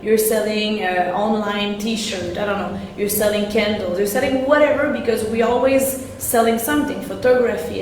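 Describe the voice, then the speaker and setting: A woman's voice: mid-range voice, darkish tone. A woman whose first language is French gives a live-streamed sales talk in English, hesitating and often repeating and restarting her words. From French-speaking. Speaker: sales coach. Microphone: live-stream camera. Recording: very echoey room, faint noise.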